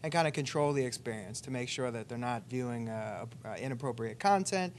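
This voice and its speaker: A man's voice: mid-range voice, expressive, a little fast. A man speaking as a company spokesman in a live interview, explaining products in an agreeable, promotional way with hesitations between phrases. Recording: noticeable background ambient noise.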